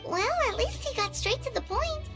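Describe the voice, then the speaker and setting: A woman voicing a tiny, chatty floating female companion in a game, with a squeaky childlike voice and a frank way of talking. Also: animated. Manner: frank, quick to comment. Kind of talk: game cutscene voice acting. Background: loud game music.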